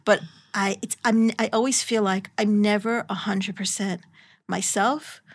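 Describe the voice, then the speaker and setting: A woman's voice: medium pitch, steady tone, unhurried. A middle-aged woman hosting a podcast, thoughtful and often restarting her sentences, in a studio. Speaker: podcast host. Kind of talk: interview conversation. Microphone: studio mic, headphones.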